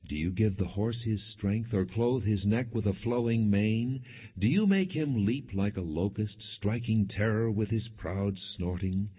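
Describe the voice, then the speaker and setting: A man reading scripture as an audiobook narrator in a solemn, measured, declamatory manner. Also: lowish voice, breathy edge. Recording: muffled, narrow phone-like sound.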